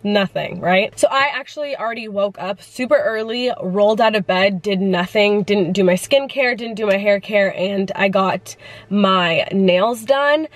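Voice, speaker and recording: A woman's voice: mid-range voice, unhurried, no room sound. A woman vlogging casually, congested from the flu and chatting with lots of fillers. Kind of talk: casual vlog chat.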